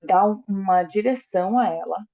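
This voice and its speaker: Woman, interpreter, mellow voice, hesitant with fillers, dull muffled recording. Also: medium pitch, normal speed. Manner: hesitant, with fillers and self-corrections. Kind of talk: consecutive interpreting into Portuguese.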